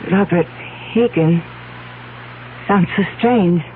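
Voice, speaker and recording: An actress playing a devoted, anxious fiancée in a 1970s radio drama, tender and earnest in a theatrical way. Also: female voice, fairly low pitch, slow pace. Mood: tender, supportive. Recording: narrow-band vintage radio broadcast, faint hiss.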